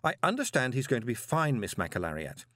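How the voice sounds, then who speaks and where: A man voicing a quick-witted, confident postmaster in an audiobook, glib yet earnest and reassuring. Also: mid-range voice, wildly swooping pitch, a bit bright, no echo, studio quality. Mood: soothing, firm.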